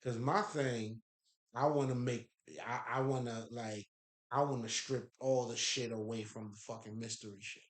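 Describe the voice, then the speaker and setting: A man's voice: medium pitch, steady tone, normal speed. Haitian-accented man in an echoey room. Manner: loose, profane, emphatic, laughs often. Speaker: spiritual speaker. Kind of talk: casual spiritual talk.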